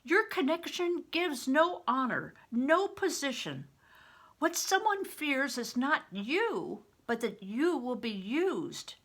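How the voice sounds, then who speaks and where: An older widow in a storybook, acted out by a woman reader, earnest and urgent. Her voice climbs high and swings widely in pitch as she pleads and warns with strong emphasis. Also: sing-song, takes it slowly, a bit bright, full character voice. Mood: urgent warning. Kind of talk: audiobook character reading.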